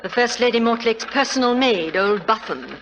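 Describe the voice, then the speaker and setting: An actress playing a frank, wealthy middle-aged society widow, imperious and chatty, in 1940s radio drama style. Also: female voice, on the high side, animated, normal speed, fairly bright tone. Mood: candid, assured. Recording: noisy old 1940s radio recording.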